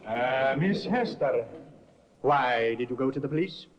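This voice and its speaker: A man's voice: mid-range voice, lively intonation, measured pace, slight background noise, close to an everyday voice. A man playing a pressing courtroom barrister. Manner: probing, pressing cross-examination. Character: sharp, persistent male defense barrister. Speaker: defense barrister. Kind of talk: 1950s radio acting. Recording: dull restored old radio broadcast.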